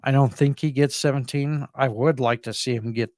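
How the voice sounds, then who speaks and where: A man's voice: mid-range voice, steady tone, moderate pace, darkish tone, no echo, studio quality. A man co-hosting a podcast in casual sports talk, rambling a little as he thinks aloud. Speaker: podcast co-host. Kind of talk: casual sports prediction chat.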